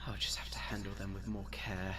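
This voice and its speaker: A man's voice: sits low, level tone, a little fast, music in the background, natural voice. A man voicing a scheming mad-scientist platypus in a comic dub, pompous, articulate and condescending in a theatrical way. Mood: musing, frustrated.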